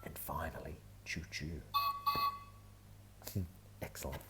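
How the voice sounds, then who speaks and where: A man reading a children's picture book aloud, with gentle, often whispered asides. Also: rumbling low, steady tone, slow pace, very noisy.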